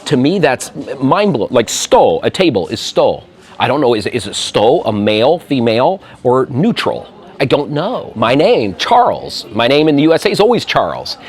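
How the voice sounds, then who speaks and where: A man's voice: medium pitch, big pitch swings, normal speed. A middle-aged man from the American Midwest with a Midwestern accent, talking casually in an interview, chatty and self-deprecating, laughing often. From Midwestern USA. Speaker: interview guest.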